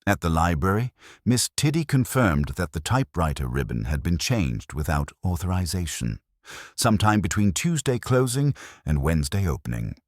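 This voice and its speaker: A man narrating a scripted mystery story in a measured, formal storytelling manner. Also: low-pitched, very animated, dry recording, clean studio sound.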